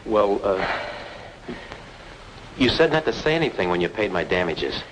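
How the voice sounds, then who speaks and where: An uneasy, reluctant witness in a vintage radio play. His somewhat low voice is hesitant. Loud noise covers the old recording. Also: big pitch swings, moderate pace. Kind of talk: old-time radio drama acting.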